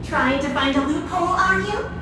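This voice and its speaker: A woman's voice: very high voice, normal speed, loud music behind the voice. A woman voicing a bossy, mocking computer system in a video game. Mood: teasing. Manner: teasing, officious. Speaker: game character. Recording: roomy echo, distant, noisy.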